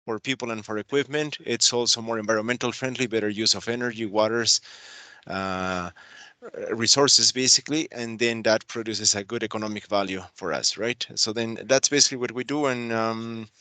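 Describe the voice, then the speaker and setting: A man with a Peruvian Spanish accent introducing his engineering work on a webinar, earnest and halting with fillers. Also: fairly low pitch, sharp tone, no echo. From Peru. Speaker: process control engineer.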